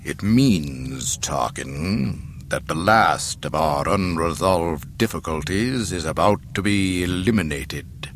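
A cold, confident male dark lord from an audiobook space saga, voiced by a man. His deliberate voice stays low and menacing, with a little noise behind it. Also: expressive, full character voice. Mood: cold certainty. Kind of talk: audiobook character acting.

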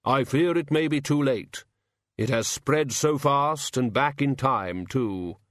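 A man's voice: mid-range voice, fairly bright tone, dry recording, clean studio sound. A man voicing a red-hooded gift-bringer with a heavy, puzzled, gloomy voice. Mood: glum. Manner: slow, heavy, glum.

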